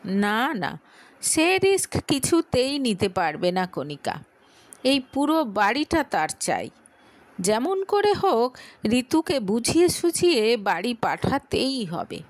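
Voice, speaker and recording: A woman narrates a dramatic audio story in a high voice. Her delivery is unhurried and very animated in pitch, like a scripted tale read for listeners. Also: no room sound.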